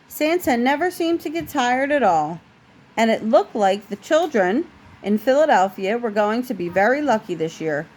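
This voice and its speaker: Woman reading a bedtime story, higher-pitched voice. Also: darkish tone, slight background noise, poor audio. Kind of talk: storybook read-aloud with casual asides.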